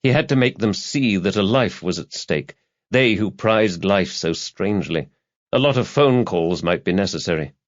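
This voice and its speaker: A man's voice: low-pitched, lively intonation, normal speed, no echo. A man narrating a crime thriller audiobook in a scripted, dramatic storytelling style. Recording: slightly dull, lo-fi audio.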